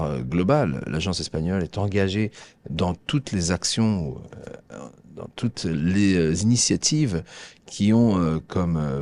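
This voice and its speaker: A man giving formal public remarks as an agency representative, speaking haltingly with frequent hesitations and repeated words. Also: sits low, a little mellow.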